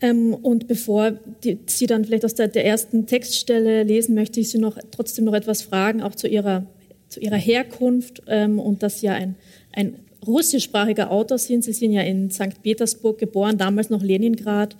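Woman, interviewer, measured delivery, soft back-channel "mhm"s. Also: medium pitch, flat delivery. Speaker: interviewer, moderator. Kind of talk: interview questions.

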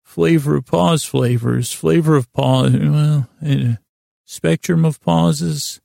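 Gravelly, halting man rambling at bedtime. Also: a little high, steady tone, mellow, no room sound, clean studio sound. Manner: halting, meandering, self-interrupting with false starts; self-deprecating, absurdist. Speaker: podcast host.

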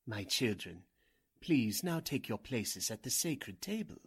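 An oily, pompous cult leader in an old-time radio drama. His husky voice swoops widely as he intones grand, theatrical incantations. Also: medium pitch, big pitch swings, a little slow, full character voice. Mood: solemn, mysterious. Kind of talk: 1940s radio drama acting.

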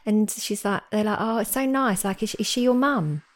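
A woman in her forties co-hosting a podcast, chatting casually and telling stories with lively exclamations. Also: medium pitch, normal speed. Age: 40s. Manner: chatty, animated storytelling with rising exclamations. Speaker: podcast co-host. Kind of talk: casual chat.

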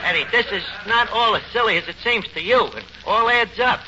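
A man's voice: sits high, fairly quick, natural voice. A man playing a blustery New York wiseguy, muffled old radio. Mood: mock-tragic. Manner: malapropisms, slangy ungrammatical bluster. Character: anxious, blustering tavern manager, comic wiseguy. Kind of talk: 1940s radio comedy acting. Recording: muffled, noisy 1940s radio recording.

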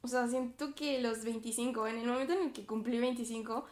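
Woman, mid-twenties, high voice, chatty with fillers, echoey room. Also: fairly even intonation, brisk, darkish tone. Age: 20s. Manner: chatty, many fillers and self-conscious laughs. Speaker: podcast host. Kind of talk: reflective casual monologue.

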